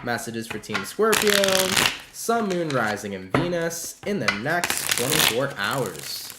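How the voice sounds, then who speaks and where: A man's voice: mid-range voice, very animated, takes it slowly, reverberant, very noisy. A man with a stuffy nose from allergies giving a casual, encouraging tarot reading to viewers. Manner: chatty and encouraging, calls viewers "baby". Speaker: tarot reader.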